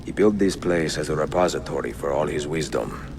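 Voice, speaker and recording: An actor playing a weary, reflective older Italian assassin in a game cutscene, speaking in a measured, solemn way with an Italian accent. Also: male voice, rumbling low, expressive, creaky, noisy background, full character voice. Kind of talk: dramatic game cutscene dialogue and letter narration.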